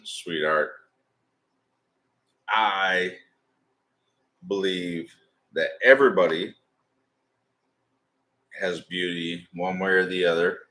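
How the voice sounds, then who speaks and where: A middle-aged man with a deep voice, rambling and swearing casually as he hosts a live stream. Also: fairly bright tone. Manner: rambling, swearing, drawn-out storytelling. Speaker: livestream host.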